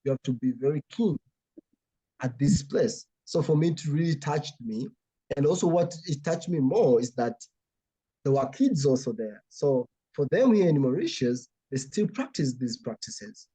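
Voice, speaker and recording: A man from Tanzania, speaking as an artist in an earnest, reflective way and often pausing to search for words. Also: mid-range voice, expressive, warm tone, breathy edge, low-quality recording. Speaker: artist guest. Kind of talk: artist talk, reflective explanation.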